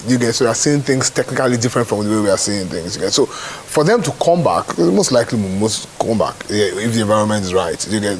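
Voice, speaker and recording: A Nigerian man giving an informal interview answer, repeating points for emphasis and often checking that he is understood. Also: medium pitch. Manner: emphatic repetition, frequent check-in tags. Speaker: stage play producer. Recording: clearly noisy with hiss.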